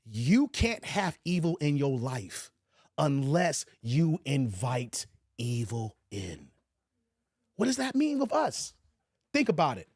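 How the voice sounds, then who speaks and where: A man's voice: mid-range voice, big pitch swings, slow, darkish tone, dry recording, studio quality. An American man giving opinionated livestream commentary, emphatic, repeating his points and posing rhetorical questions. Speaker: commentator hosting his own channel.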